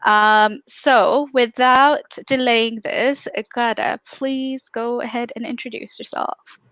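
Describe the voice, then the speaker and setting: Smooth-voiced woman hosting a livestream. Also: fairly high pitch, steady tone, takes it slowly, a bit bright, dry recording. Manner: chatty, frequent fillers. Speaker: podcast host.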